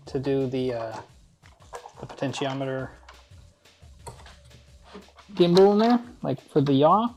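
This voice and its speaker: A man hosting a hands-on build tutorial, talking informally through each step as he works. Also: fairly high pitch. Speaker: host of a DIY build tutorial. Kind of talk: casual hands-on instructional narration.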